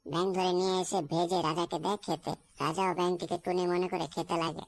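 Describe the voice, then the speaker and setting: A woman's voice: on the low side, level tone, fairly quick. A woman voicing a teasing, caring young friend in a cartoon, talking casually and telling folk tales. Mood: storytelling.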